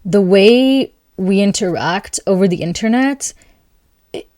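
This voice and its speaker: A woman hosting an astrology video, talking calmly and thoughtfully to camera, with soft laughs between thoughts. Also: mid-range voice, a little slow, dry recording, studio quality. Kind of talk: casual spoken reflection to camera.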